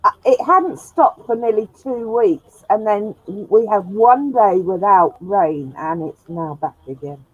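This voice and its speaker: A woman's voice: medium pitch, lively intonation, a little slow, hardly any pauses, slightly rough, no room sound. A woman hosting a sci-fi live stream, chatting casually and laughing often, in a room with a glass roof. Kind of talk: casual chat. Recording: dull lo-fi dry sound.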